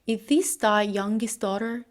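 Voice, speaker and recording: A woman's voice: medium pitch, unhurried, natural voice. Woman reading as a formal, commanding storybook beast. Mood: stern, formal. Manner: archaic, stately wording. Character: formal, commanding male beast of an enchanted house.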